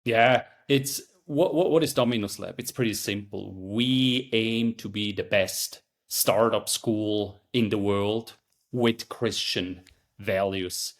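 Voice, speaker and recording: Swiss-accented man, low earnest voice. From Switzerland. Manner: earnest, halting with restarts and fillers. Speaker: podcast guest, startup founder. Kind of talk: conversational interview answers. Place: California.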